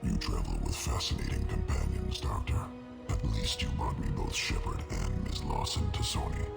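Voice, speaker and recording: An actor playing a huge, cold, arrogant alien crime lord in a video game, with a gravelly growl and formal, menacing delivery. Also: male voice, expressive, heavily acted. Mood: arrogant menace. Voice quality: deep monstrous gravelly growl. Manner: formal, pompous, measured menace. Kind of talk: video game cutscene acting. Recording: music behind the voice.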